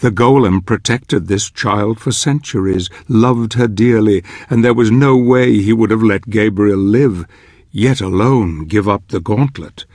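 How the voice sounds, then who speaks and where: Solemn, scheming older mentor narrating dark fantasy game; unhurried, measured, close dry sound. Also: male voice, lowish voice, no room sound. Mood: grave, brooding. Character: grave older male chronicler, scheming mentor, solemn and foreboding.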